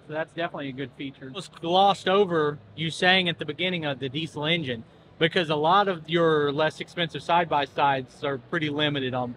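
A man's voice: fairly high pitch, a bit bright, slight background noise. A man in his thirties from Southeast Kansas, hosting a casual walkaround chat about equipment in a large indoor expo hall. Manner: easygoing, plainspoken, enthusiastic. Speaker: farm equipment channel host. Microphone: handheld camera close up.

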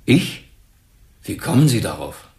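A man's voice: mid-range voice, big pitch swings, a little slow, gravelly, darkish tone, roomy sound. An actor playing a defensive, emphatic young businessman under suspicion in a crime radio play. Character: defensive young businessman suspect, about thirty.

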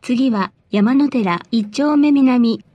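A woman reading scripted bus announcements in a polite, formal, measured way. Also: fairly high pitch, warm tone, muffled recording, faint music behind.

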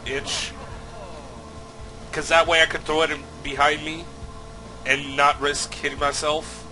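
Excitable swearing young man gaming. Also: on the high side, measured pace, rough recording. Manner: excitable, swears a lot, loud outbursts. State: a little sick, slightly nasal. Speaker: let's play host. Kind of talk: casual gameplay commentary.

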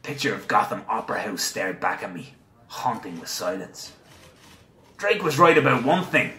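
A man playing a gruff, cynical nineteenth-century police captain in an audio drama, with a deliberate, sardonic delivery. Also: on the low side, sing-song, sharp tone, echoey room. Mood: brooding, cynical. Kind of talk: audio drama, reading aloud and noir narration.

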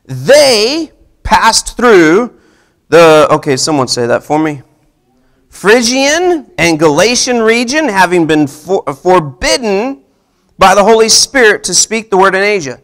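A man's voice: high voice, very animated, slow pace, fairly bright tone. A man in his thirties preaching a casual sermon to a seated congregation in a church hall, talking conversationally and tossing questions to the room. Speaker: pastor. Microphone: handheld mic, far camera.

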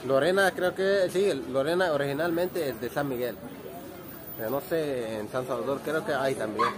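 A man's voice: medium pitch, moderate pace. A man in his thirties from Egypt with an Egyptian Arabic accent, chatting loosely to a handheld phone camera as he walks through an outdoor street market, speaking non-native Spanish with frequent restarts. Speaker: vlogger. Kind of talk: walking vlog to camera. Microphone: handheld phone at arm's length. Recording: loud street crowd and music behind. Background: market crowd, traffic, music.

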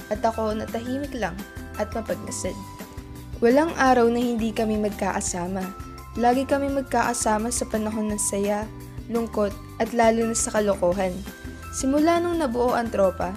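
A woman playing a quiet, observant teenage girl who narrates a scripted radio drama about her friends. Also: fairly high pitch, lightly acted. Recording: music under the voice. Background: background music.

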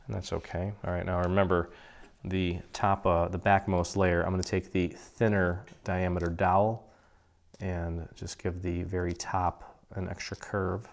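A man with a slightly hoarse voice from a cold, walking through a paper craft project in a relaxed, chatty way. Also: low voice, measured pace. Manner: relaxed, chatty, clears his throat now and then. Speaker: craft tutorial host. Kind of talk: casual step-by-step crafting instruction. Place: indoor craft table.

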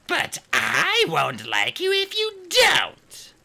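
A man's voice: very high voice, wildly swooping pitch, very slow, creaky, voice pushed up, heavily acted. A man reading aloud and voicing a cruel, scheming female dragon queen who snarls, hisses and mocks. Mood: purring, coaxing. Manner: hissing, snarling, mocking.

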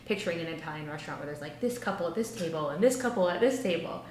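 A woman's voice: lowish voice, expressive, racing. A young woman co-hosting a music reaction, thinking aloud tentatively with many "like"s. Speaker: reaction channel co-host. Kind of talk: casual music reaction chat. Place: home room, seated in gaming chair. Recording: roomy echo, faint hum.

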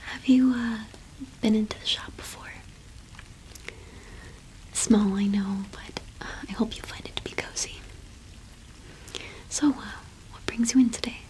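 A woman in her twenties doing an ASMR roleplay as a cottage witch shopkeeper, speaking softly and unhurriedly with hesitant pauses, close to the microphone. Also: fairly high pitch, steady tone, rough recording. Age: 20s. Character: friendly solitary cottage witch keeping a small shop.

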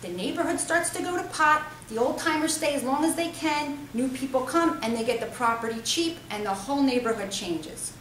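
A woman's voice: high-pitched, lively intonation, moderate pace, hardly any pauses, a bit bright, voice pushed up. A woman voicing a bookish teenage girl who shows off big words, read in a storybook with a lofty manner. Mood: patient. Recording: roomy, distant, faintly noisy.

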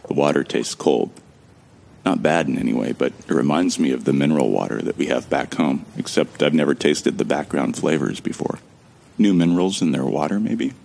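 A man with an extremely deep voice narrates a sci-fi audio drama in the first person. His tone is wry and casual, with jokes and the odd swear word, and a gravelly fry runs through his speech. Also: expressive, brisk, a little mellow, a little hiss or hum. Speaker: narrator and protagonist.

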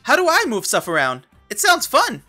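A woman voicing an excitable, easily exasperated young boy in a web comic dub, with a casual, exclamatory delivery. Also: high voice, normal speed, faint music behind, full character voice. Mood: excited, curious.

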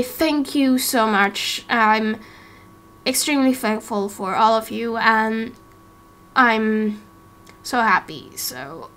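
Tired female gaming creator, high voice, slow, hesitant, apologetic casual chat to viewers. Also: sharp tone.

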